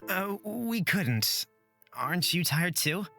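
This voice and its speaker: A polite young fantasy traveler, played by a woman, speaking gently and earnestly with small hesitations. Faint game music plays behind the voice. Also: fairly low pitch, sing-song, slow, heavily acted.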